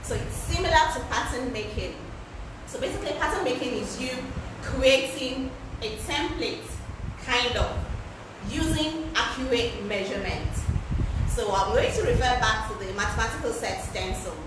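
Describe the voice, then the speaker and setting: A woman with a loud voice leads a sewing pattern lesson in a casual, chatty way, often repeating words for emphasis. She is heard from some distance in a very echoey room. A steady background noise runs under her voice. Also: fairly high pitch, normal speed. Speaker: fashion pattern-drafting instructor. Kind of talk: live-streamed class, casual instruction.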